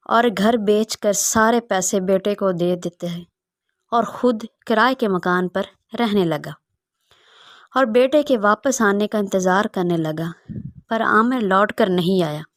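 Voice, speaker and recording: A woman's voice: medium pitch, takes it slowly, no echo, studio quality. A woman narrating a scripted story as its storyteller.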